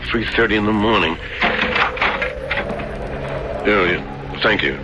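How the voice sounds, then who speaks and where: Exasperated, protective husband; deep register, flustered repetitions, vintage radio mystery, heavy noise. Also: male voice, slow. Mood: alarmed, exasperated. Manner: stammering, emphatic, repeats himself. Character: worried, flustered husband on a sea voyage, exasperated but protective. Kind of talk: 1970s radio drama acting. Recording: noisy old radio broadcast recording.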